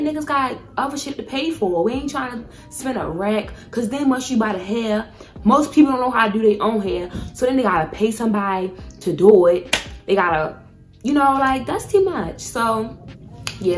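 A woman's voice: fairly high pitch, expressive, brisk, soft background music. A young woman vlogger giving a casual, chatty product review, speaking candidly with plenty of slang and swearing. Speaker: beauty vlogger.

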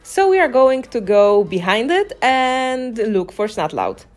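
A woman's voice: fairly high pitch, big pitch swings, a little slow, a little mellow. Woman narrating a game walkthrough over music. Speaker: gaming guide narrator. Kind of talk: casual walkthrough commentary. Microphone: voice-over on a microphone, game music behind.

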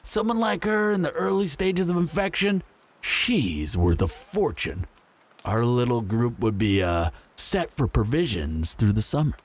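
A man's voice: mid-range voice, sing-song, unhurried, husky, heavily acted. A man playing a menacing, smug apocalypse bandit in an audio roleplay, taunting and mock-friendly with a drawl.

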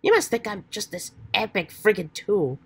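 A woman voicing an earnest, excitable, old-fashioned adventurous teenage boy with hammy, folksy slang, while getting over a cold. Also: medium pitch, moderate pace, creaky, husky, exaggerated voice. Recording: faint background noise.

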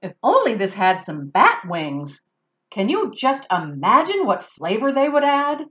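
A woman's voice: on the high side, huge pitch swings, a little slow, fairly bright tone, lo-fi sound, full character voice. A woman voicing a sly, grinning male stranger who cooks, raised and coaxing in a storybook reading. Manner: coaxing, sing-song.

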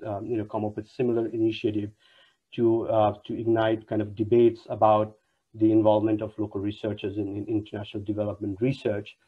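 A man in his thirties from Nepal, a university lecturer introducing an online panel, speaking formally with many fillers and restarts. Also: lowish voice. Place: home room on a video call. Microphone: wired earphone mic on a video call. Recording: dull lo-fi video call audio.

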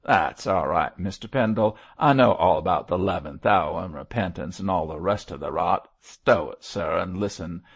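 A man's voice: low voice, normal speed, gravelly, hardly any pauses, muffled recording. A man voicing a coarse, emotional condemned criminal and father in an audiobook, with a growling, rustic working-class English accent. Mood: gruff, disgusted. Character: coarse condemned male criminal, gruff, grateful, remorseful father.

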